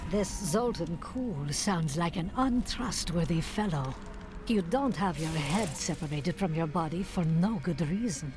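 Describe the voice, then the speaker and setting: An actress playing a wary travelling companion in a fantasy game, with a wry, conversational delivery. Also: female voice, fairly low pitch, animated, unhurried. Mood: wry distrust. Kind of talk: fantasy video game voice acting. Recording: music underneath. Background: music.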